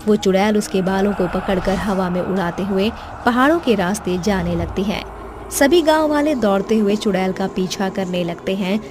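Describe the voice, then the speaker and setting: A woman reading scripted narration for a cartoon horror story. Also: medium pitch. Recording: background music under the voice.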